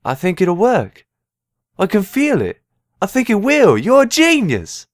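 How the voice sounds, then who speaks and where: A man playing a simple-minded, earnest young man in an amateur audio drama, eager and anxious, with halting, childlike phrasing. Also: sits high, huge pitch swings, no room sound, studio quality, exaggerated voice. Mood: excited, hopeful.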